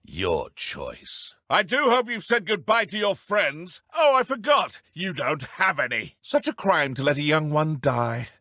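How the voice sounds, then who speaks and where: An actor voicing a haughty, theatrical dark wizard villain in a video game, with pompous, archaic, taunting diction. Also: male voice, a little high, huge pitch swings, unhurried, few pauses, no room sound, clean studio sound, exaggerated voice. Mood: mocking. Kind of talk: video game combat voice clips.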